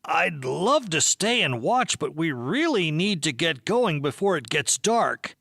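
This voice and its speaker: A man acting out characters for a whimsical audiobook in a theatrical, deliberate way. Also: on the high side, sing-song, gravelly, bright, forceful tone, no room sound, studio quality. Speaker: audiobook voice actor.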